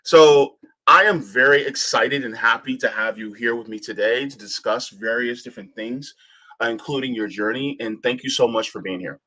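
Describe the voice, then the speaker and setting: A man's voice: medium pitch, normal speed, sharp tone, rough recording. A man hosting an independent podcast, introducing his guest and asking questions in a friendly, eager way. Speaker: podcast host. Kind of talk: casual interview.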